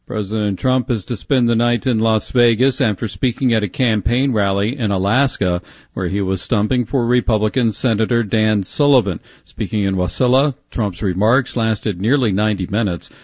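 A male newsreader with a lowish voice, reading headlines in an even, formal cadence. The sound is dry and slightly dull, like broadcast radio. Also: moderate pace, no echo. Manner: steady, formal news delivery. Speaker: newscaster. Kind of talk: newscast reading.

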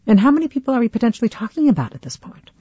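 A woman hosting a public radio call-in show, speaking in a measured, clear way. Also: fairly high pitch, very animated, dark tone, no echo, dull audio.

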